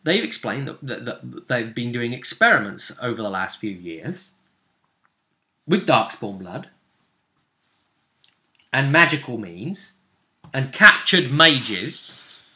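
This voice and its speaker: A man with a bright, forward voice acts as game master at a tabletop session. He narrates the story casually, pausing to find words and repeating phrases for emphasis. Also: medium pitch, sing-song.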